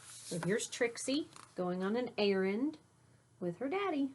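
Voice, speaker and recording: A woman teacher reading a picture book aloud to children, pausing for simple explanatory asides. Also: on the high side, expressive, a little mellow, slight background noise.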